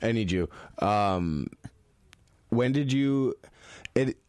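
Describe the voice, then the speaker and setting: A man's voice: fairly low pitch, lively intonation, very slow, darkish tone, dry recording. Chatty, upbeat male podcast host. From Holland, Michigan. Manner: upbeat and chatty, laughs at his own slip-ups. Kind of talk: casual interview chat.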